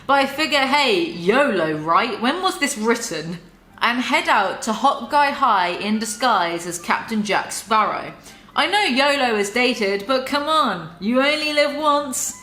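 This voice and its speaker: A woman reads aloud with mock drama and keeps cutting in with sarcastic asides. Her voice is bright and sharp. The sound carries a noticeable roomy echo. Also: a little high. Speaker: YouTuber reading and mocking a book. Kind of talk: dramatic reading with sarcastic commentary.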